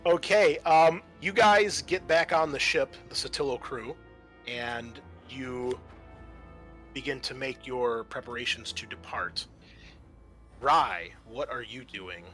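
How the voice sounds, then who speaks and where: A man's voice: medium pitch, expressive, slow, fairly bright tone. A man improvising narration as game master in a tabletop roleplaying game, talking casually and addressing his players directly. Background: background music.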